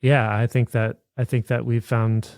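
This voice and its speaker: A man's voice: medium pitch, little pitch movement, moderate pace, a little mellow, no echo, studio quality. A man co-hosting a podcast, talking enthusiastically in long run-on sentences while promoting a community for listeners. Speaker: podcast co-host. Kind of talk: casual podcast chat, promo pitch. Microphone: studio microphone.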